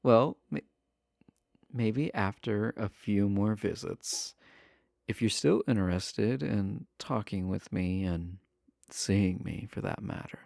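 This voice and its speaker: Man playing a slow, low-voiced, teasing villain prisoner. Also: creaky, a little mellow, dry recording, studio quality. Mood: sly, playful. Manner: coy, flirtatious, drawn-out casual delivery. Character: charming, teasing male villain prisoner, adorkable and sly. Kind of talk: intimate roleplay audio acting.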